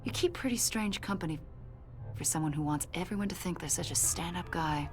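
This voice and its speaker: An actress playing a confident government agent in a video game, questioning in a pointed, insinuating and accusatory way. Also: female voice, fairly low pitch, a little fast, music in the background, natural voice. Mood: pressing. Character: probing female government agent, confident, accusatory, smoothly needling. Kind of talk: video game dialogue acting.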